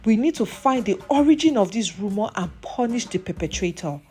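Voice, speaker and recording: A woman's voice: medium pitch, animated, fairly quick, mellow, slightly rough. Woman acting an irritated, formal village chief. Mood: firm. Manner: formal, firm. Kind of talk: folktale character voice.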